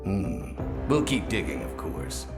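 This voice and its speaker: An actor playing a grave, skeptical senior intelligence boss in a video game, speaking deliberately and formally with a gravelly voice. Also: male voice, sits low, sing-song, loud music behind the voice, full character voice. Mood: skeptical, resigned. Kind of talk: scripted game cutscene dialogue.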